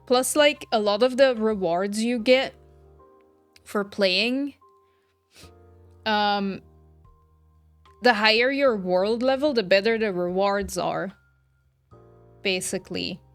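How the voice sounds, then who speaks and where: Woman, livestream gamer, chatty, slow-paced, mid-pitched, dry sound, soft background music. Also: clean tone, dry recording. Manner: chatty, talking to viewers. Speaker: game streamer. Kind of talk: casual livestream chat.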